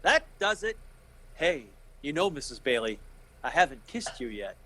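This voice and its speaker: An actor playing a restless, earnest young small-town husband in a 1940s-style radio drama, speaking emphatically. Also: male voice, medium pitch, big pitch swings, normal speed, bright, forceful tone, slight background noise. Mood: excited, happy. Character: restless, romantic young small-town man, newly married.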